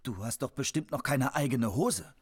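Animated, husky, aggrieved fellow. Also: male voice, mid-range voice. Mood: indignant, flustered. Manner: halting, indignant. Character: hesitant, aggrieved man demanding his stolen voice back. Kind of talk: absurd comic radio drama acting.

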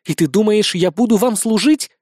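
Man voicing a high-pitched, snarling winged female creature. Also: normal speed, darkish tone, no room sound, studio quality. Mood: furious. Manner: snarling, indignant, blunt. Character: furious, haughty winged female albino pet creature.

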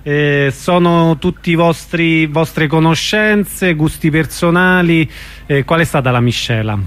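A man's voice: fairly high pitch, slow pace, a bit bright, clean tone. A man hosting a radio show, asking a guest questions in a conversational interview style. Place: radio studio.

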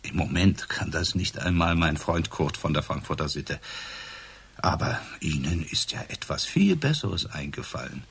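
Casting: boozy police inspector for radio crime play, deep husky voice, sarcastic interrogator. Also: male voice, expressive, a bit bright, heavily acted. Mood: sardonic, accusing. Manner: sarcastic, probing, theatrical pauses. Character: hard-drinking, sardonic male police inspector, relentlessly accusing. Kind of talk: German radio crime drama acting.

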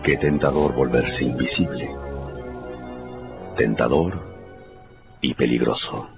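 A man's voice: a bass voice, takes it slowly, gravelly. Theatrical male narrator over music. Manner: theatrical, emphatic. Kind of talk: dramatic scripted radio-drama narration. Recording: muffled, under prominent music. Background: dramatic music.

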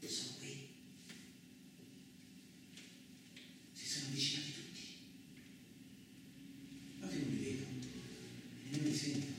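An actor playing a coarse, suspicious, lonely truck driver in a radio theatre monologue, rambling colloquially with frequent questioning interjections. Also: male voice, medium pitch, fairly even intonation, normal speed, natural voice. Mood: uneasy, hushed. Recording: very echoey, distant, noisy room recording.

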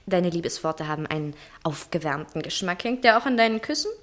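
A woman's voice: fairly low pitch, lively intonation, fairly quick, a bit bright. An actress playing a lovestruck, proud young woman, earnest and theatrical in the manner of a 1960s German radio play. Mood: proud, bitter.

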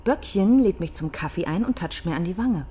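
An actress playing a sharp-tongued young archive clerk in a radio crime drama, wry and quick to take offence. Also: female voice, fairly low pitch, lively intonation, slightly rough.

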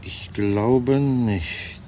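An actor playing a grave, authoritative yet soothing family doctor in a German radio drama, measured and probing. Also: male voice, mid-range voice, mellow, a little hiss or hum. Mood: firm, soothing.

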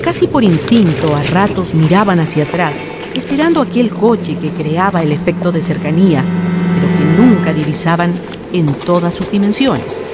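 A woman's voice: fairly low pitch, animated, moderate pace, loud music behind the voice, lightly acted. A woman acting as the solemn narrator of a ghost legend in a scripted radio drama. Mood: solemn, suspenseful. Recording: loud vehicle and night sound effects.

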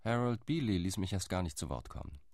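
A man playing a sober, deep-voiced envoy. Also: animated, brisk, a little mellow, dry recording, clean studio sound. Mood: reporting. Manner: sober, reporting tone. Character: measured male Zionist envoy, calculating, reserved. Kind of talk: radio drama monologue.

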